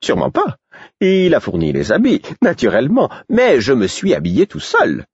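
A man's voice: mid-range voice, wildly swooping pitch, moderate pace, husky, no room sound, lo-fi sound, voice pushed up, heavily acted. A man doing a sly, theatrical court jester. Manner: mocking, theatrical.

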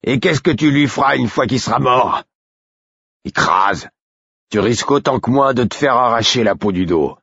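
A man's voice: mid-range voice, animated, normal speed, a bit bright, breathy edge, no room sound, lo-fi sound, voice pushed up. A man playing a mocking, hard-bitten male prison guard in an audiobook story. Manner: mocking, sardonic.

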